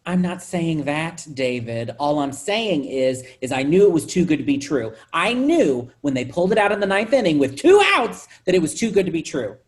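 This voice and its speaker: A woman's voice: sits low, big pitch swings, normal speed, hardly any pauses. A woman acting a self-absorbed, grumbling office worker with a casual manner, in a staged play reading. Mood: griping.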